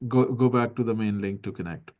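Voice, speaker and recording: A man moderating an online pitch session, upbeat and reassuring, in short friendly turns. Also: brisk. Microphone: video call. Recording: dull lo-fi video call audio.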